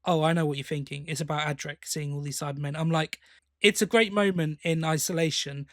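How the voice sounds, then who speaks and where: A man with a somewhat high voice talks casually as a podcast co-host. He sounds conversational, like a fan discussing a favourite series, and the recording is dry with little room sound. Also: steady tone, fairly quick, fairly bright tone, dry recording.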